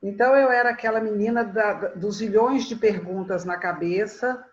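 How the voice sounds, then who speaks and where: A woman's voice: medium pitch, moderate pace. Lady in her 60s telling old family stories, laughs a lot, kinda muffled sound. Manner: warm reminiscing, laughs often, repeats words when starting. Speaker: guest storyteller. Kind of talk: reflective conversational storytelling.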